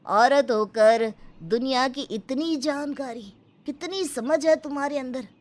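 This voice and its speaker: A woman's voice: high-pitched, unhurried, pitched above the performer's own voice. A woman voicing an opinionated, emphatic elderly uncle in a Hindi moral story. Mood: admiring.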